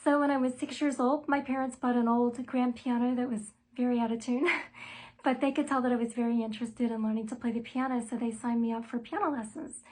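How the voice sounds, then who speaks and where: A middle-aged woman with a light voice pitched a little above average. She talks through her musical journey and her album in an easygoing, conversational way. Her pace is measured, and she pauses often on small "um" and "uh" fillers. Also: level tone, a little mellow. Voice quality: light, soprano-range voice. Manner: thoughtful and measured, with frequent "um" and "uh" pauses. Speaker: musician and songwriter interviewed about her album. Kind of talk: self-told interview answers, conversational.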